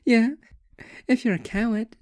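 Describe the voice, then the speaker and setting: A woman's voice: a little high, fairly even intonation, a little slow, a little mellow, no room sound, clean studio sound, natural voice. A woman playing a blunt young waitress in an audio story, casual, teasing and sarcastic. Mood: needling.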